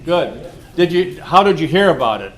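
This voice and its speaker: A man hosting an informal club meeting, speaking to the room in a folksy, halting way with repeats and self-interruptions. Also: on the high side, very animated, a crowd in the background. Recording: noisy live meeting room recording.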